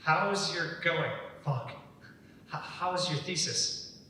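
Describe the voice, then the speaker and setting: Man playing a bright-voiced, sociable young man. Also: slow. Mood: teasing. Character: sociable, teasing young man of twenty-five. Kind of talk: staged dramatic reading. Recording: echoey hall, far from mic, rumbly noise.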